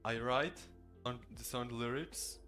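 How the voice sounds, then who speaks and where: A man's voice: medium pitch, fairly even intonation, takes it slowly, sharp tone, faint music behind. A man whose first language is Polish, giving earnest step-by-step study tips in a tutorial video. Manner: earnest, instructive.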